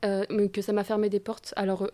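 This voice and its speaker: A woman's voice: medium pitch, monotone, fast talker, warm tone. A woman artist speaking as a guest in an interview, hesitant and full of fillers, yet frank and critical. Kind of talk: casual interview talk.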